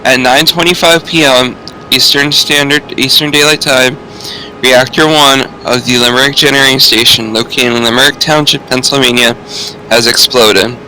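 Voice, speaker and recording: A man's voice: medium pitch, sharp tone, slight background noise, poor audio. A man reading a scripted civil danger warning. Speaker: emergency alert announcer.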